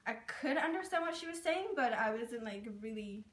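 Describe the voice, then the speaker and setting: A woman's voice: on the high side, expressive, normal speed, a bit bright. A young woman from Burma, raised in Thailand, whose first language is Karen, casually telling personal stories with lots of "like" and "um". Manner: chatty, with many "like" and "um" fillers. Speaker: interviewee sharing her experience. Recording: roomy, distant mic.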